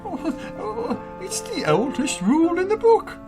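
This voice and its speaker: A woman's voice: very high-pitched, sing-song, measured pace, music in the background, full character voice. A woman in a radio play acting as a dithering, easily amused King who stammers and hesitates. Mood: flustered, dithering.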